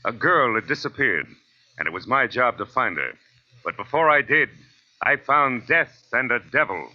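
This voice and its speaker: A man's voice: mid-range voice, big pitch swings, normal speed. An actor playing a cool, wry American private detective narrating his case in a 1940s radio noir style. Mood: brooding. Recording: muffled old radio recording with music.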